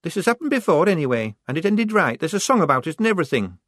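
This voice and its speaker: Brief: man voicing a young woman posing as a soldier, high, emphatic and easily flustered, storybook reading. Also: animated, fairly quick, dry recording, clean studio sound. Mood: defiant.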